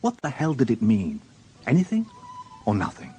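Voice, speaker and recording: A man's voice: medium pitch, wildly swooping pitch, moderate pace, warm tone, soft background music. An actor playing a weary, dry-witted male police inspector in a radio drama, wry and understated. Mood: wry narration. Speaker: narrator and lead actor. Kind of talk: radio drama acting and first-person narration.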